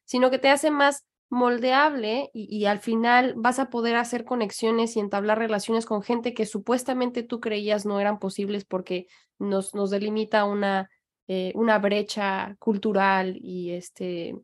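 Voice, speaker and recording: A Mexican woman hosting a podcast, talking in long reflective stretches that turn animated. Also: mid-range voice. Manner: reflective, animated, speaks in long flowing thoughts. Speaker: podcast host. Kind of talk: casual conversational chat. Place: living in New York.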